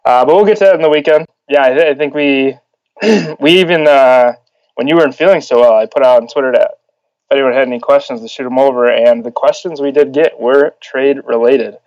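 A man co-hosting a casual sports podcast, talking in a relaxed way with some fillers. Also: mid-range voice, a little fast, no room sound, dull audio. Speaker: podcast co-host.

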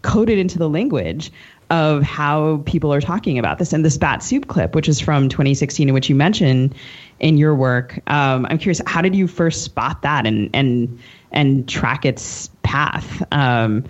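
A woman's voice: a bass voice, darkish tone. Woman interviewer with dull, lo-fi sound. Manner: halting, searching phrasing. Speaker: podcast co-host. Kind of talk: conversational interviewing. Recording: dull, lo-fi audio.

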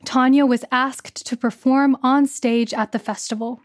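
A woman's voice: high-pitched, fairly even intonation, a little slow, a little hiss or hum. A woman reading a scripted biographical story for children as its narrator.

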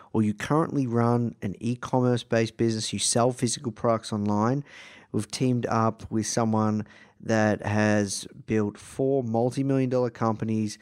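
An Australian man with a slightly croaky voice, introducing a podcast episode in a casual, enthusiastic, informal way. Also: mid-range voice, darkish tone. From Melbourne, Australia. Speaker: podcast host.

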